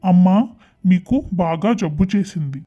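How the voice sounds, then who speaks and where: A man's voice: high voice, unhurried, warm tone. A man playing plain-spoken male characters in a cartoon moral story, explaining things simply. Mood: grave. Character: young son and a doctor in a cartoon moral tale. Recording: dull, muffled lo-fi sound.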